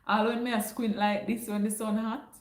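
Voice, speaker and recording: A woman's voice: a little high, flat delivery, normal speed. Chatty Jamaican woman, roomy sound. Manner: chatty, slips into patois phrasing. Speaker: livestream host answering chat. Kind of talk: casual livestream Q&A. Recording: roomy echo.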